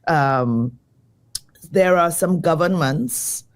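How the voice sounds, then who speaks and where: A woman design educator, slightly croaky from jet lag, answering interview questions in a lively, thoughtful way. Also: deep voice, drawn-out words. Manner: lively, thoughtful, many fillers.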